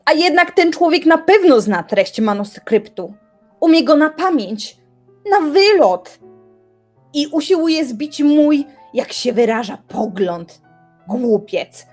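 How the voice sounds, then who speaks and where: An actress playing an obsessive, indignant young reclusive philosopher in a radio drama, brooding aloud with dramatic deliberation. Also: female voice, high voice, lively intonation, slow pace, a little mellow. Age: young adult. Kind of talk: theatrical radio drama monologue. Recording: music behind the voice.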